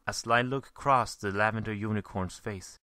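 A man's voice: fairly low pitch, steady tone, normal speed, sharp tone, dry recording, clean studio sound. A man reading a scripted story aloud as narrator. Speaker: fan fiction reader, narrator.

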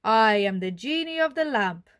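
A woman's voice: on the high side, expressive, normal speed, a bit bright, smooth, dry recording, studio quality. A woman voicing a grand male genie of the lamp in a storybook, declaiming his words. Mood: grand, booming.

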